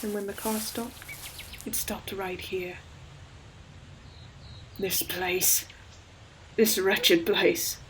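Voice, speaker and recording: A woman plays an ancient forest demon who speaks slowly and solemnly, in a narrow, measured range. She is a tender, sorrowful protector in an audio roleplay, choosing each poetic phrase with care. Faint ambient sound sits behind the voice. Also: mid-range voice, fairly even intonation, background noise. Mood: sorrowful, brooding. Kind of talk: scripted audio roleplay monologue, slow dramatic storytelling. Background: ambient environmental sound effects.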